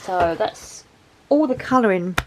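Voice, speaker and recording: A woman's voice: mid-range voice, lively intonation, measured pace, noisy background. A woman with a head cold and a dry throat, chatting in a rambling, self-deprecating way as she shows off a craft haul at home. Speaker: craft vlogger showing a haul. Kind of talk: casual chat to camera.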